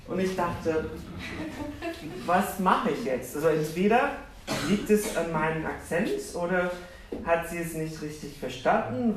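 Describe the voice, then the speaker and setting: Animated preacher in an echoey hall. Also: male voice, fairly high pitch, a little hiss or hum. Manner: animated, self-deprecating, asks the congregation questions. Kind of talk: sermon with personal storytelling. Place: church hall with congregation. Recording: roomy, distant church recording.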